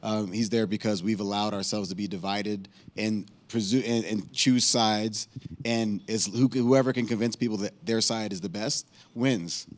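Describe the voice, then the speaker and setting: A man speaking as a panelist at a town hall, earnest and halting, stumbling over repeated words. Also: lowish voice, steady tone.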